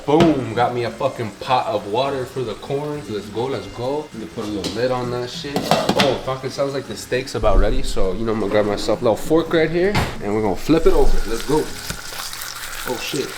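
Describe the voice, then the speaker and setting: A man's voice: medium pitch, fairly quick. A young man with a stuffed-up nose, chatting casually and cursing as he cooks in his kitchen. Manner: casual, profane, chatty with Spanish food words. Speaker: cooking vlogger. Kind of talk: casual cooking vlog. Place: home kitchen. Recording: clear kitchen noise behind. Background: sizzling pan and cooking noises.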